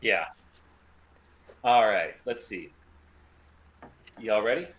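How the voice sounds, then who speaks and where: A man's voice: on the low side, drawn-out words, fairly bright tone. A man casually hosting a group table read, handing out parts in an informal chatty style. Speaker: host running the table read.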